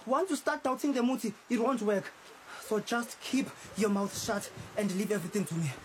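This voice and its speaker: A man's voice: sits high, moderate pace, close to an everyday voice. An actor playing a controlling, scornful man who mocks and laughs at his partner. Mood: dismissive. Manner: mocking, laughs at her. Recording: noisy background.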